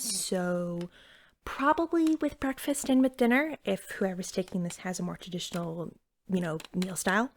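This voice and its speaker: Soft-spoken woman with a creaky edge. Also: medium pitch. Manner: chatty, helpful, slightly awkward, often dropping into whispers. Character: eager, friendly young female magical pet shop cashier. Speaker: ASMR roleplay performer playing a shop cashier. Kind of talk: soft-spoken ASMR roleplay.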